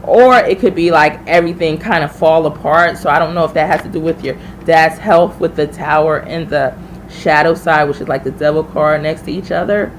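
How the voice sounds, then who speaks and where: A woman's voice: sits low, normal speed, a little hiss or hum, rough recording. A woman hosting a live tarot reading, chatting informally and familiarly with viewers as she thinks aloud. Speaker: tarot reader hosting a livestream.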